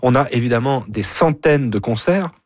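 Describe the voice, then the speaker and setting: A man's voice: mid-range voice, animated, normal speed, dry recording, clean studio sound. A man with an accent from France giving casual radio commentary as a music columnist, chatty, digressive and teasing. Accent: French from France.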